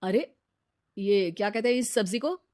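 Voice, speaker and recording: A woman's voice: mid-range voice, lively intonation, moderate pace, darkish tone, no room sound, natural voice. Moral-story husband, played by a woman: young man, casual and blunt, emphatic delivery, dry recording. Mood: brusque. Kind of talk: animated Hindi moral story dialogue.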